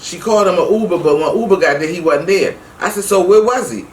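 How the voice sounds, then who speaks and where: A middle-aged woman telling a personal story casually to her viewers, emphatic and animated, acting out the dialogue as she goes. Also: on the low side, fast. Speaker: vlog host. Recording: roomy, distant mic with faint noise.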